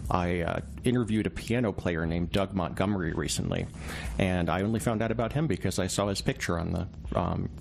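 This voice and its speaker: A man with a fairly low voice talks as an interview guest, a reporter by trade. He is reflective and conversational, illustrating points with little examples. There is clear background noise around his voice. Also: brisk. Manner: reflective, explains through small anecdotes and quoted examples.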